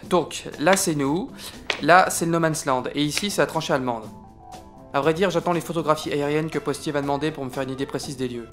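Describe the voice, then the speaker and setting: A man's voice: fairly high pitch, a little fast, fairly bright tone, faint music behind, lightly acted. A man acting an irascible, self-important French army captain in a comic audio drama, sarcastic, bossy and coarse. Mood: instructing.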